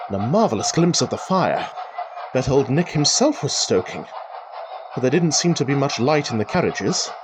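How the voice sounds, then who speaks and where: An actor playing a chatty, wry country rambler who thinks aloud, musing and exclaiming in old-fashioned idiom, in a radio drama monologue. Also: male voice, medium pitch, noisy background, natural voice. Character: chatty male country rambler talking to himself, wry and excitable.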